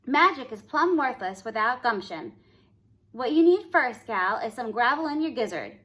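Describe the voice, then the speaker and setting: A woman's voice: fairly high pitch, sing-song, a bit bright. A woman reading a storybook aloud and voicing a feisty old fairy godmother who talks in folksy ranch slang. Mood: brisk. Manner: bossy, brisk, drawling ranch slang.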